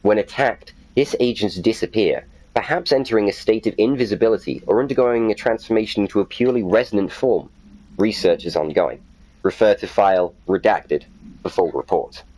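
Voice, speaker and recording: A man's voice: on the low side, a little fast, darkish tone, poor audio. A man hosting a gaming video, chatting casually and reading documents aloud in a playfully self-mocking way. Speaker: gaming video host and reader.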